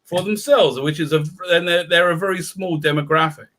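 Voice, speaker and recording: A man chatting on a video call as interviewer, excitable and tripping over his words. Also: fairly high pitch, a little fast. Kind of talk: casual video chat.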